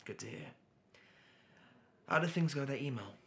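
A man's voice: medium pitch, lively intonation, normal speed, creaky, fairly bright tone, a little hiss or hum. A man playing an obsessive, lovelorn artist in an audio drama, speaking hesitantly and with awkward politeness. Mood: awkward, polite. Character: nervous, obsessive lovelorn male artist narrating his longing. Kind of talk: dramatic monologue and phone dialogue.

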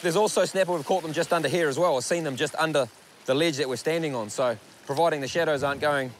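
A man's voice: a little high, slight background noise. A man with a New Zealand accent explaining land-based fishing while standing on coastal rocks. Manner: quick, explanatory, speaks with fillers. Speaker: fishing show presenter. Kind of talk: casual outdoor fishing explanation. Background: music.

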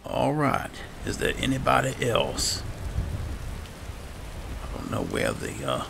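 A man improvising in-character roleplay for a video game, speaking haltingly and repeating himself. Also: medium pitch, wildly swooping pitch, vocal fry, background noise. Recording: game music under the voice.

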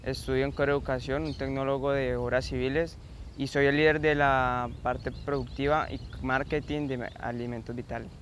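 A man's voice: medium pitch, flat delivery, moderate pace, fairly bright tone, a little hiss or hum. A Colombian man in his late teens giving a prepared explanation to camera outdoors. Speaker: production and marketing leader of a small food business. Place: outdoors in countryside.

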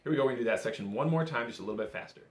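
A man's voice: medium pitch, animated, rapid-fire. A young man teaching a casual music lesson in an encouraging, easygoing, conversational way. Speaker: bagpipe instructor. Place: small indoor room, seated at a table. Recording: roomy indoor echo.

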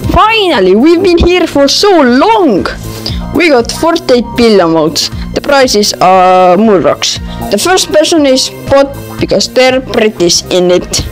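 A woman voicing excitable cartoon object-show characters, host and contestants, in an amateur animated show. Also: high voice, measured pace, soft background music, rough recording. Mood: announcing.

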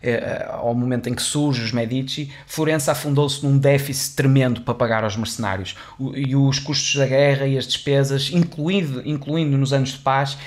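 A man's voice: mid-range voice, steady tone, moderate pace, hardly any pauses. A young man with a European Portuguese accent explains finance history in a casual, chatty way, full of fillers and restarts, into a studio microphone in a small room. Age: young adult. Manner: chatty, many restarts and fillers, gestures while talking. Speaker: host of an educational video series. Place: small studio room with dark wall, indoors. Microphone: studio condenser mic on boom arm, headphones on.

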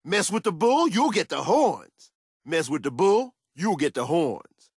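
Tough confident soldier, video game combat barks, swaggering, punchy, very animated intonation, dry sound. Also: male voice, a little high, unhurried, slightly rough, no room sound, full character voice. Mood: challenging, cocky. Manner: cocky, punchy, swaggering delivery. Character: tough, confident male soldier, upbeat battlefield fighter.

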